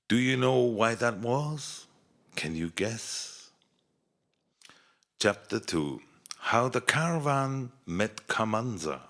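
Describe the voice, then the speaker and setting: Male fairy-tale narrator, unhurried, with widely varied intonation for young listeners. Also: on the low side. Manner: expressive, measured children's storytelling. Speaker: storyteller.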